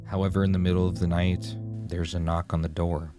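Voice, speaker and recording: A man's voice: low-pitched, flat delivery, fairly quick. A man reading scripted narration of a horror game in a dramatic, ominous storyteller manner. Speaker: video essay narrator. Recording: music behind the voice.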